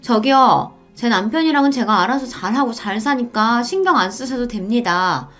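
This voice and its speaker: A woman's voice: on the high side, expressive, moderate pace, a bit bright, soft background music, pitched above the performer's own voice. A woman voicing a cold, contemptuous younger daughter-in-law in a radio story, curt and brazen. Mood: sneering, contemptuous.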